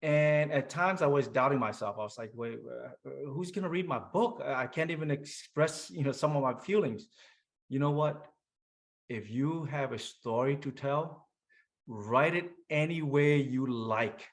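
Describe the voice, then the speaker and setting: A man's voice: mid-range voice, a little slow. A man author on a panel, plain-spoken and encouraging. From Vietnamese-American. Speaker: author guest. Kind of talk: panel discussion.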